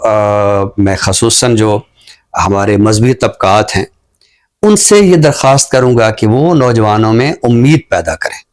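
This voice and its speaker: A man giving thoughtful, earnest commentary on a talk show in a measured, phrase-by-phrase way. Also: medium pitch.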